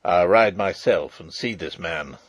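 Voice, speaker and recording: A gruff old soldier of a storybook as a man reads him, pitched low and slightly hoarse. He is loyal and wary, giving short, urgent commands in a hushed tone, recorded dry. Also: measured pace, dry recording. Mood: hasty, secretive. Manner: terse, commanding. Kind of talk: audiobook character voice.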